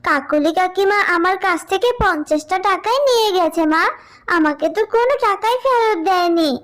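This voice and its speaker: A woman's voice: very high-pitched, normal speed. A woman voicing a sweet, childlike village girl in a cartoon, whiny and eager, with a pleading manner. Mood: complaining. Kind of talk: cartoon voice acting.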